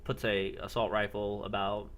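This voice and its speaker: A man narrating an improvised role-playing game as game master, halting and pausing between phrases. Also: fairly low pitch, level tone, a bit bright. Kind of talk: improvised narration of a tabletop-style role-play.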